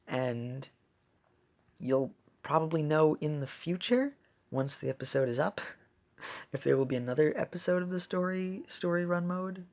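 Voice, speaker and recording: A woman's voice: lowish voice, a little mellow. A woman giving casual, rambling gameplay commentary as a video host, with playful wordplay.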